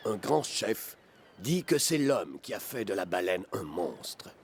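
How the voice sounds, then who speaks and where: An actor playing a wise harpooner, a chief's son, in a French children's cartoon, speaking in broken grammar with a measured manner. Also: male voice, on the low side, very animated, a little hiss or hum. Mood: calm, wise. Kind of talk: children's cartoon dub acting. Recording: music under the voice.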